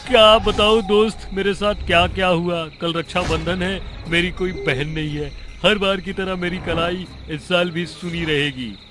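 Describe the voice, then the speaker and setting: A man's voice: high-pitched, fairly even intonation, a little slow, hardly any pauses, pitched above the performer's own voice. A man voicing a sorrowful, lonely male ghost in a cartoon horror story, speaking in a plaintive, lamenting way. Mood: grieving. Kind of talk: Hindi animated horror story dialogue. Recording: music behind the voice.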